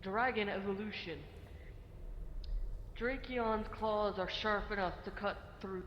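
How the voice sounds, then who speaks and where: A woman running an improvised roleplay as game master, narrating haltingly and thinking aloud over voice chat. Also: medium pitch, lo-fi sound. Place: online VR voice chat. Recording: echoey room, faint noise, voice chat.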